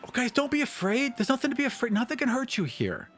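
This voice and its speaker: A man's voice: very high voice, wildly swooping pitch, fast, fairly bright tone, faint music behind, pitched above the performer's own voice, full character voice. A man voicing an eager, soothing wizard in a tabletop fantasy game, breezy and coaxing. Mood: reassuring.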